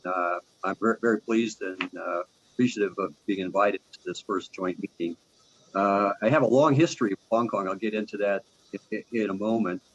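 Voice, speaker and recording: A man in his sixties speaks over a video call in a low, unhurried voice. He stops often with fillers and restarts his phrases, presenting informally as a tax adviser. The call audio is dull and lo-fi. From Argentina, raised in Canada. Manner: halting, many fillers and restarts. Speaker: tax partner giving a business talk. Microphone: video call microphone.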